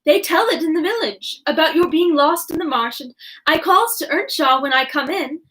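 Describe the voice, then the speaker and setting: A woman's voice: high-pitched, moderate pace, fairly bright tone, pitched above the performer's own voice, poor audio. A woman voicing a chatty, gossipy country housekeeper in a broad rustic put-on dialect.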